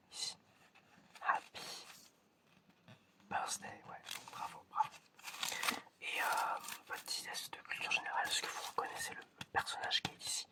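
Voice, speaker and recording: Someone whispering hesitantly and softly to the listener, in an ASMR roleplay as an examiner. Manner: hesitant, soft-spoken, addressing the listener directly. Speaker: ASMR creator playing an examiner.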